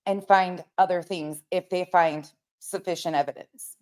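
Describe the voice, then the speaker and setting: A woman's voice: lowish voice, fairly even intonation, a little slow, a little mellow, no echo. An American woman giving casual commentary as an online host, emphatic and explanatory, repeating points for stress. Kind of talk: casual commentary, reading documents aloud.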